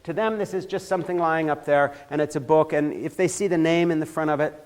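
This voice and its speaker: A man's voice: a little high, fast. An older man from Switzerland giving an impassioned talk in a church, growing heated and emphatic as he goes, often restarting his sentences. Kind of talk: impassioned lecture.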